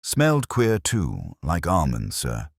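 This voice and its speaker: Man as deep-voiced deferential railway porter. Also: huge pitch swings, slow, breathy edge, dry recording, clean studio sound, exaggerated voice. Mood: deferential, uneasy. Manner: clipped, respectful reporting. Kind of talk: dramatic audiobook dialogue.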